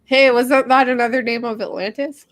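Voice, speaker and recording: A woman's voice: on the high side, fairly even intonation, fairly quick, fairly bright tone, no room sound, studio quality. A woman hosting a book discussion, chatting informally and often saying "like" as she thinks. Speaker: book club livestream host. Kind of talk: casual discussion.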